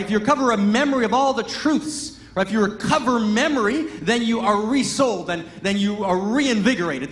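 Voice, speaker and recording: A man whose first language is Hebrew and who grew up in Massachusetts gives a Sunday sermon as a guest teacher. He tells it as a loose personal story, full of "you know" and "right?", and builds to emphatic passages. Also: high-pitched. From Pittsfield, Massachusetts, US. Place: large church hall. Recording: echoey church hall with faint ambience.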